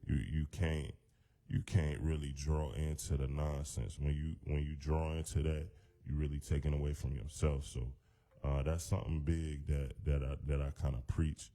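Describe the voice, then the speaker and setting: A man with a very deep voice, a hip-hop artist talking as a guest in a radio studio in a loose, laid-back way full of fillers. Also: little pitch movement, moderate pace, dark tone. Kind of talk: casual radio interview.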